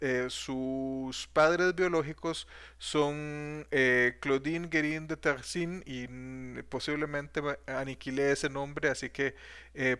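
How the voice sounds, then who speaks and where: A man's voice: mid-range voice, fairly bright tone, clean tone. A man teaching math history in a video lecture, hesitant, with frequent fillers and restarts.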